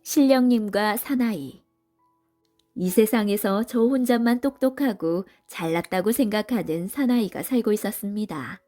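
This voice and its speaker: A woman's voice: medium pitch, moderate pace, dark tone, soft background music. A woman storyteller giving scripted storybook narration for children.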